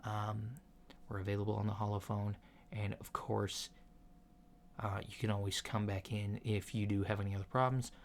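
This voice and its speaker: A man playing a casual, reassuring alien doctor in a soft ASMR roleplay, speaking in a mild, hesitant, matter-of-fact way. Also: on the low side, flat delivery, moderate pace, natural voice. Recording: lo-fi with clear background noise.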